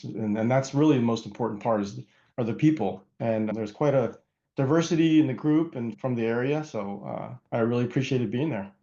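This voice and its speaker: A middle-aged man from Pennsylvania, a guest giving earnest, measured answers with small restarts. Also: medium pitch, dark tone, highs cut off. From Pennsylvania, USA. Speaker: guest, hospital security chief. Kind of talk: reflective interview answers.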